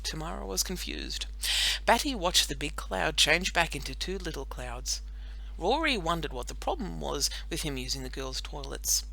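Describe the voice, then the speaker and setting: A woman narrating a children's story in an expressive, animated storytelling manner. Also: sits low, moderate pace, gravelly, low-quality recording. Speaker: audiobook narrator.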